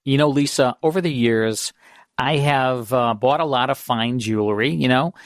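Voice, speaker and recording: A middle-aged man hosting a radio program, talking in a relaxed, chatty way. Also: medium pitch, normal speed, no echo, studio quality. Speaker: radio host. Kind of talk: casual radio hosting and ad read.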